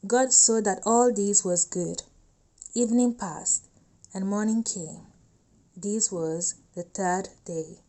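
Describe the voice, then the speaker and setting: A woman's voice: mid-range voice, takes it slowly, dark tone. A woman giving a scripted reading of a scripture story. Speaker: volunteer scripture reader.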